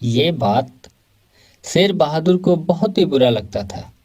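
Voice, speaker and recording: A man's voice: a little high, animated, slow pace, a little mellow, slightly rough. A man reading scripted narration for a children's moral story.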